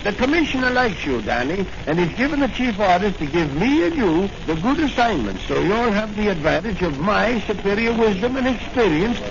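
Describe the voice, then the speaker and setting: Creaky high-voiced blustering cop. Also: male voice, big pitch swings, normal speed, few pauses, full character voice. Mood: boastful. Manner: pompous, stumbles over long words. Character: blustering, self-important veteran Irish-American beat cop, male. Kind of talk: 1940s radio serial acting. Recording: noisy, muffled old radio recording.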